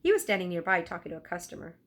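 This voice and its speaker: A woman narrating a children's storybook aloud in an expressive, deliberate storytelling style. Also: lowish voice. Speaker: teacher reading aloud.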